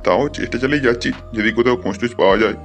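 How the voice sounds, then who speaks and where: A man's voice: medium pitch, rapid-fire, faint music behind, close to an everyday voice. A man voicing a worried older village father in a cartoon, plaintive and fretting. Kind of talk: cartoon dialogue.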